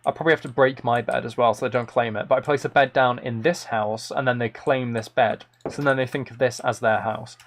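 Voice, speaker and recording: A man's voice: medium pitch, fairly even intonation, a little fast, hardly any pauses. A man hosting a live game stream in casual chat, rambling playfully and thinking aloud into a close microphone.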